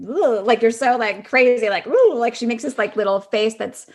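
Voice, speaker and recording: A woman guest on a podcast, an actress, chatting casually in an informal, halting way with lots of filler likes. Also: on the high side. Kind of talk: casual chat.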